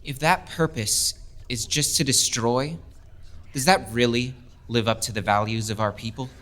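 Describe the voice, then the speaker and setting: Man playing a young military officer, earnest, persuasive, even pitch. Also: normal speed, close to an everyday voice. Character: idealistic young male lieutenant.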